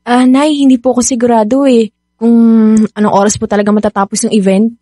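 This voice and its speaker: An actress plays a dutiful young Filipina daughter in a radio drama. Her voice is fairly high, hesitant and deferential, a little worn down. The recording is dry and close. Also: little pitch movement, fairly quick, mellow, dry recording, close to an everyday voice. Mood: nervous, deferential. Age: young adult. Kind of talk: radio drama acting in Tagalog.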